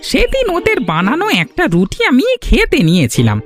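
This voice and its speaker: A woman's voice: sits high, wildly swooping pitch, a little fast, exaggerated voice. A woman voicing a mocking, gossipy villager in a cartoon fairy tale, with an exaggerated delivery. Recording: music behind the voice.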